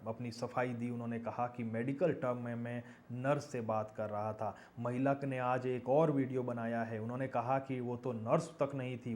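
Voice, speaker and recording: A male news presenter with a mid-pitched voice. He comments on events directly to viewers, leaning on key phrases and repeating them. His delivery often turns to pointed rhetorical questions. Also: darkish tone.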